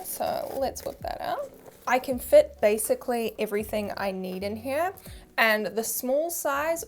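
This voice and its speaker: Casting: young woman, New Zealand accent, fairly high voice, candid and chatty vlogger. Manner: chatty, candid, self-deprecating. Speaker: vlogger reviewing a handbag. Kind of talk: casual to-camera review.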